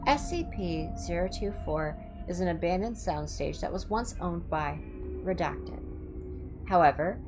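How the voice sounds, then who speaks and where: A woman's voice: lowish voice, lively intonation, unhurried. A woman hosting a livestream, reading clinical horror reports aloud with informal asides and the odd stumble over hard words. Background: music.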